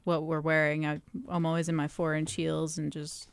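Young woman vocalist, lowish smooth voice, chatty, laughs easily, dry close mic. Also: monotone, moderate pace, no echo. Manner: chatty, laughs often, fillers like "um" and "like". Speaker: singer. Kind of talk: casual interview answers.

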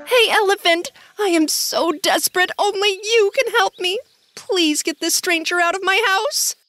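A woman's voice: very high voice, normal speed, pushed and bright, no room sound, soft background music, pitched above the performer's own voice. A woman voicing a cute, desperate little female rabbit in a children's story, pleading expressively. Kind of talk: children's storybook character acting.

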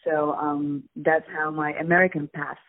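A woman's voice: low-pitched, little pitch movement. Woman from Poland on a tinny phone line. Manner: thoughtful, many ums and pauses. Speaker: guest actress. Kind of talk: phone interview. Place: on a phone call. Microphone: telephone.

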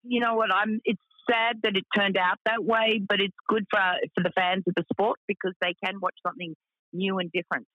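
A woman with a deep voice who owns a racing team, talking frankly with lots of fillers in a radio interview. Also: fairly even intonation, brisk, dry recording, lo-fi sound. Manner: frank, chatty, many fillers.

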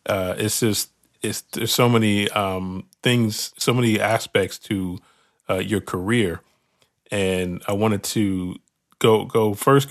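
A man co-hosting an interview, asking long, halting questions full of fillers and restarts. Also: lowish voice. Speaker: co-host.

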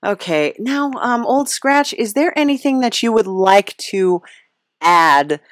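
A woman's voice: on the high side, lively intonation, takes it slowly, no echo, studio quality. A woman hosting a podcast in a casual, chatty, informal way, rambling a little. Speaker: podcast host and creator.

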